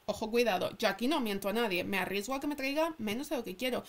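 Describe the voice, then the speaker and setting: A woman with a Castilian Spanish accent speaks in a very high voice. She gives an informal, chatty announcement as an online host. Her delivery is emphatic, with questions she answers herself. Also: quick pace. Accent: Spanish from Spain. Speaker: tarot reader and channel host. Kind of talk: casual chatty announcement to followers. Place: indoors at a table.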